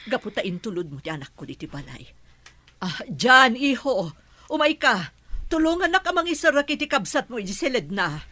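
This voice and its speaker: Radio drama mother: middle-aged, protective, fretful, with a bright husky edge. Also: a little high, moderate pace, full character voice. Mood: concerned, grateful. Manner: expressive, fretful. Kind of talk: Filipino radio drama acting.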